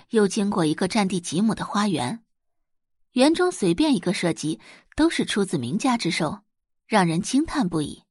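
A woman's voice: medium pitch, no room sound, clean studio sound. A woman narrating a scripted audio drama as a storyteller, in a dramatic reading style.

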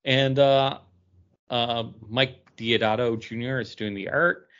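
A man's voice: medium pitch, fairly even intonation, slow pace, a bit bright, smooth. A man co-hosting a podcast, chatting casually about comic books. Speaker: podcast host. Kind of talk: casual comic book review chat. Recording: dull, lo-fi sound.

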